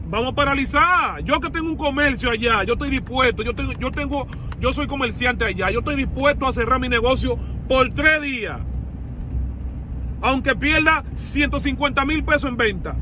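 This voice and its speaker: A man in his thirties with a Dominican accent, delivering a heated political rant to his phone camera from inside a car. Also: extremely high pitch. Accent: Dominican Spanish. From Dominican Republic. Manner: heated, rhetorical questions, blunt. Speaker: vlogger. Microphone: phone at arm's length. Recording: phone audio with clear background noise.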